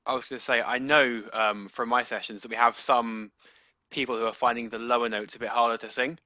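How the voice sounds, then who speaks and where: A man giving practical, encouraging advice to bass singers in a choir rehearsal. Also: flat delivery, a little fast, pushed and bright, dry recording, lo-fi sound. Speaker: bass section coach.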